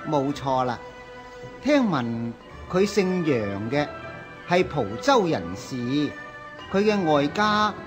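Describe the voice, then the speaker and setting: A scheming eunuch of the imperial court. His voice sits high and swoops about as he coaxes and flatters, taking his time over each deferential phrase in a radio period drama. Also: takes it slowly, music in the background. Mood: sly, coaxing. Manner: obsequious, coaxing, deferential. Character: fawning, scheming palace eunuch serving the emperor. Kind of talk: Cantonese historical radio drama acting.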